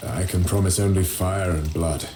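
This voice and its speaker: An actor playing a solemn, commanding knightly lord in a medieval video game. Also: male voice, deep voice, steady tone, normal speed, over music, close to an everyday voice. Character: grave, resolute knightly lord leading a quest. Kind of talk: dramatic video game cutscene acting.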